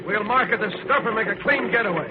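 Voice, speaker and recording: An actor playing a ruthless, commanding gang boss in an old-time radio drama, with brusque delivery. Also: male voice, sits high, fast talker, close to an everyday voice. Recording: muffled, noisy vintage radio recording.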